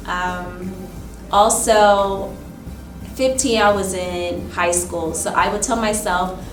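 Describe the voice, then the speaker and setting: A woman in her thirties, a teacher, reflecting thoughtfully in an oral history interview, often pausing with "um" and "you know". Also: medium pitch. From Michigan, raised in Ohio. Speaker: teacher and instructional coach. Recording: roomy, distant mic with music behind.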